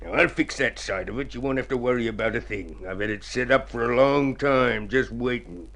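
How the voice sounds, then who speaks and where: A male actor as a scheming, smooth-talking consul from vintage radio drama. He is theatrical and self-satisfied, coaxing his partner along like a man selling a crooked deal. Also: medium pitch, a little fast, a bit bright, lightly acted. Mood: coaxing, eager. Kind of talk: golden age radio drama acting.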